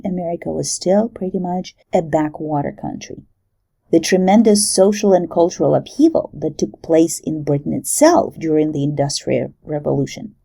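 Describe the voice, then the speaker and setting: A woman lecturer giving a recorded university lecture in a measured way, stressing key terms. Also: medium pitch, vocal fry, dark tone, breathy edge.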